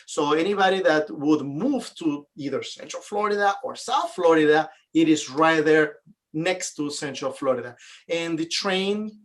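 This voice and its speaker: Higher-voiced man explaining at length. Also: animated, normal speed. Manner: explanatory, long winding sentences with fillers. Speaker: realtor, guest. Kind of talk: casual interview chat.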